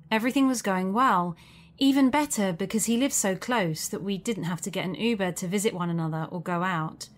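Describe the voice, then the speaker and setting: A woman narrating a spooky first-person story from a script. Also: mid-range voice. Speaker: narrator of a creepy true story.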